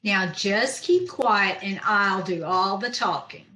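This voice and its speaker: A woman playing a wry, bossy younger woman who is easily smitten, in old time radio comedy style with deliberate delivery. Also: mid-range voice, pushed and bright. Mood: wry, firm. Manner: dry, deliberate, stammering when flustered. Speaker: amateur radio troupe actor. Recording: roomy echo on video call.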